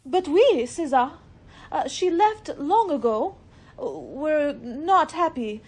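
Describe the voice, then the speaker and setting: A woman playing a gushing French maid in a radio play, with a French accent and halting, hesitant English. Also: sits high, expressive, darkish tone, heavily acted. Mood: hesitant, confiding. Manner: hesitant, searching for English words.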